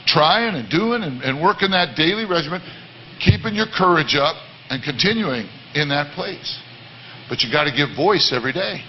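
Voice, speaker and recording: A man with a baritone voice giving an earnest, repetitive sermon-style talk to a church congregation. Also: animated, normal speed, dull audio, a little hiss or hum. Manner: earnest, repetitive, many restarts. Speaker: worship leader. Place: church service.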